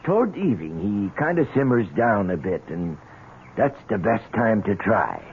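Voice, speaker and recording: An actor playing a cautious, deferential, folksy stable hand in seventies radio drama. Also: male voice, on the low side, very animated, moderate pace. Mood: concerned. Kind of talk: 1970s radio drama acting. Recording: tinny old radio broadcast with hiss.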